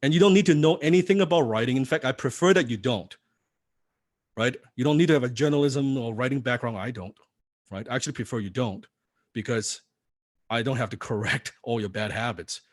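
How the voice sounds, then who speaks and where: A man's voice: mid-range voice, fairly quick, no room sound, studio quality. A man teaching copywriting in a live seminar, non-native in English, firing rhetorical questions at the audience. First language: not English.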